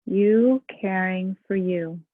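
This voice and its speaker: A woman leading a guided meditation and breathing practice, speaking softly and soothingly. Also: medium pitch, no echo. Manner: slow, soft and soothing. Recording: dry, phone-like sound.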